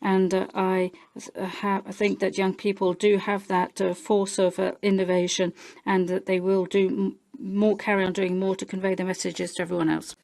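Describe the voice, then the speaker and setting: A woman giving a simultaneous interpretation of a committee speech, halting and full of filler sounds and restarts. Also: medium pitch, flat delivery, creaky, darkish tone. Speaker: parliamentary interpreter.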